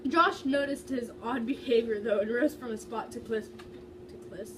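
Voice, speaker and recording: Higher-voiced woman reading aloud, off-mic. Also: normal speed. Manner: stumbles over words while reading. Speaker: amateur reader. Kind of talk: dramatic fan fiction reading, casual and giggly. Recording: distant mic, faint background noise.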